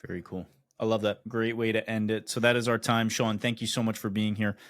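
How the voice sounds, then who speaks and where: A man's voice: on the low side, little pitch movement, a little fast, dry recording, clean studio sound. A man hosting a podcast, easygoing and reflective as he steers the talk. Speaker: podcast host. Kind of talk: casual discussion.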